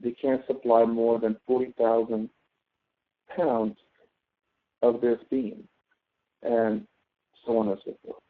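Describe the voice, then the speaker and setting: A man's voice: mid-range voice, takes it slowly, vocal fry, pauses often. Phone-line male instructor, explanatory. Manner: explanatory, often checking with "okay?". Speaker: professor teaching an online class. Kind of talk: informal tutoring walkthrough of an exercise. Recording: thin phone-like audio.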